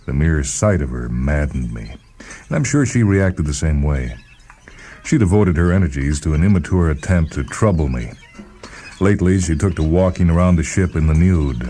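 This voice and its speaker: A very deep-voiced man narrates a short story in the first person. His tone is dark and mellow, and his delivery is measured and deliberate, as in dramatic scripted storytelling.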